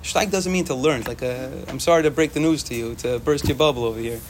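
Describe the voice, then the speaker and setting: A young man whose first language is Yiddish, giving an informal, animated Torah talk to students and repeating phrases for emphasis. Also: medium pitch, quick pace, a little hiss or hum. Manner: animated, repetitive, sprinkles Hebrew and Yiddish terms.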